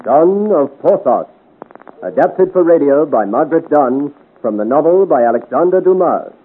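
A man's voice: a little high, expressive, moderate pace. A man reading scripted credits as the announcer of a 1950s radio serial. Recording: tinny vintage radio, loud music.

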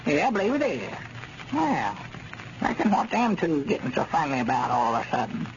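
An actor playing a bossy, folksy older country storekeeper with a drawling rural Southern accent in a 1930s radio comedy. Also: male voice, fairly high pitch, sing-song, normal speed. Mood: folksy, self-justifying. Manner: folksy, drawling, dialect grammar. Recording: scratchy, muffled 1930s radio recording.

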